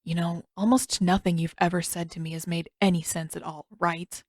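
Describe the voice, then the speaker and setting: A woman voicing a guarded, moody teenage prince, speaking in a terse, grudging, low-key way. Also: flat delivery, moderate pace, dry recording, studio quality, lightly acted. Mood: wry, tired.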